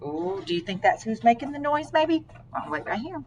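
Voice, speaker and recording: A woman reading a picture book aloud to a young child, expressive and prompting with questions. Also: a little high, normal speed, slight background noise.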